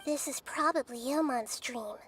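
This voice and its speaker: A woman voicing a gentle, wise little girl deity with a light childlike voice and a measured manner, in an anime video game. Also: high voice, slight background noise. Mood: thoughtful. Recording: music behind the voice.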